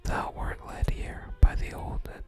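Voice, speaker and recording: An ASMR host whispers through a video game, with no voiced tone at all. The delivery is breathy, soft and unhurried, moving between reading game text and murmured commentary. Music plays behind the whisper.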